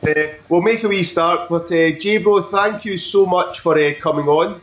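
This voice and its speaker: A man hosting a rock talk show, asking friendly questions with halting restarts over a video call. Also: high-pitched, a bit bright. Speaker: podcast host. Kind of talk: interview hosting. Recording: roomy video-call audio.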